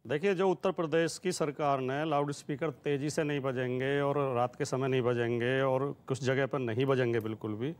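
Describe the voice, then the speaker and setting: A man's voice: mid-range voice, fairly even intonation, normal speed, hardly any pauses. A man arguing as a party spokesman in a TV debate, emphatic and fond of repetition. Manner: argumentative, emphatic, builds points by repetition. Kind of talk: televised political debate argument.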